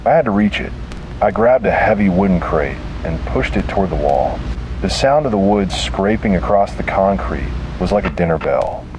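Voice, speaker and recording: A man with a low, deep voice narrates a scripted horror story in the first person. He delivers it dramatically, in short and deliberate phrases. Clear rumbling background noise sits behind the voice. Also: expressive.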